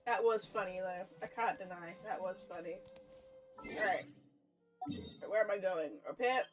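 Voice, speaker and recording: A woman's voice: medium pitch, moderate pace, music in the background. A woman livestreamer giving casual, chatty game commentary, often repeating her phrases.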